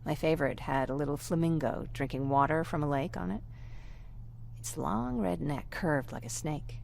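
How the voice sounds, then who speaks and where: A woman playing a gentle, wistful young survivor with a creaky voice in a video game. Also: low voice, a little hiss or hum. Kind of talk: video game dialogue acting.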